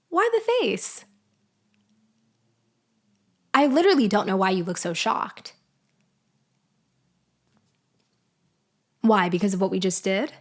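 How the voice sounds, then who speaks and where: A woman playing a betrayed, vengeful ex-girlfriend in an audio roleplay monologue, taunting with deliberate, condescending delivery. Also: medium pitch. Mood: mocking. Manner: slow, deliberate, condescending, with sighs and pauses. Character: betrayed, vengeful ex-girlfriend confronting her framer, coolly taunting. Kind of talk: girlfriend ASMR-style dramatic roleplay monologue.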